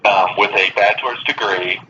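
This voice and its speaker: A man speaking as a human resources official on a phone call, halting and careful, with polite phrasing. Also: fairly low pitch, steady tone, bright, forceful tone. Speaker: school human resources director. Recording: distant, dull, noisy phone line.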